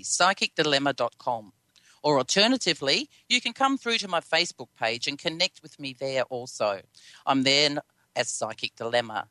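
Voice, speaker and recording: A woman's voice: low-pitched, sharp tone, no echo. A woman with an Australian accent hosting a radio show, chatty and upbeat, with a slightly scratchy voice. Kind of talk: live radio hosting and interviewing.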